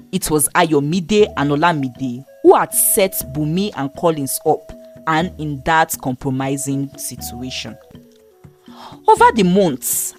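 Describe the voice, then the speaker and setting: A woman narrating a moral folktale in a steady, expressive storytelling manner. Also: low voice.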